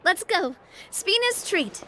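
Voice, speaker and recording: A woman voicing a lively, generous young female group leader with a hearty, upbeat, commanding manner, in game voice acting. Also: very high-pitched, takes it slowly, slight background noise, exaggerated voice. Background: game music.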